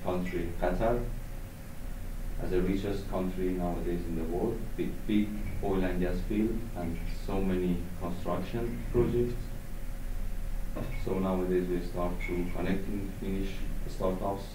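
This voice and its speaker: Deep-voiced man, distant and roomy. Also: fairly even intonation. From Iran. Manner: halting, many "uh" pauses. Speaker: entrepreneur at a meetup. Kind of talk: self-introduction at a live-streamed meetup. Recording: roomy, distant mic, noisy.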